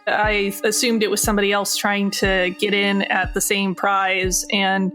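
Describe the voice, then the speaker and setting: A woman's voice: mid-range voice, flat delivery, measured pace, fairly bright tone, faint music behind. A woman playing a tabletop role-playing game, chatting informally and bluntly, in and out of character. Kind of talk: casual game chat, in and out of character.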